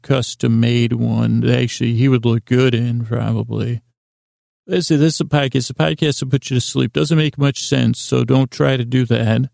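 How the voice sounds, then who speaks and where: A man hosting a bedtime podcast, rambling in a meandering, hesitant way with halting, silly, whimsical asides. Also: medium pitch, gravelly, dark tone, no echo, studio quality. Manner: silly, whimsical, soothing intent. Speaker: podcast host.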